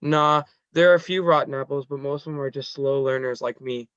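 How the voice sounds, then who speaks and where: A man's voice: medium pitch, steady tone, fairly quick, fairly bright tone, clean tone, no room sound, lo-fi sound, natural voice. A man playing a good-natured teenage boy in a screenplay table read. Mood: easygoing. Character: Gerald, good-natured skinny teenage boy, slow learner.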